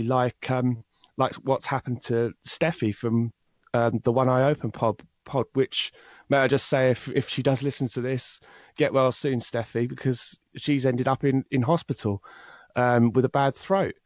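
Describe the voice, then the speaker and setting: A man's voice: mid-range voice, darkish tone, no room sound, highs cut off. A man answering as a podcast guest in a casual interview, explaining things step by step and often repeating a word before he carries on.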